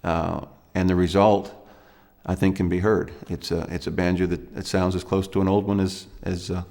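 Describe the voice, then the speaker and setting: A man in his thirties from Pennsylvania, a banjo maker talking in an interview with halting pauses and fillers. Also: deep voice, level tone, vocal fry, darkish tone, in a large hall. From Lancaster, Pennsylvania. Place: studio with dark backdrop.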